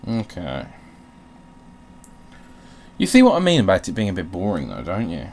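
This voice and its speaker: A man's voice: low voice, wildly swooping pitch, normal speed, a little mellow, a little hiss or hum. A man giving casual, joking video game commentary in an irreverent, sweary manner. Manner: irreverent, sweary, breaks into playful put-on readings and song. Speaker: host of a gaming video.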